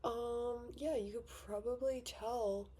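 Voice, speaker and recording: A woman's voice: a little high, steady tone, slow pace, mellow, breathy edge. An eighteen-year-old woman from Victoria with an Australian accent, chatting casually and rambling a little while she does her makeup for a vlog. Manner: chatty, rambling, self-deprecating. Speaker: vlogger. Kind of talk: casual get-ready-with-me chat. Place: bedroom vanity at home.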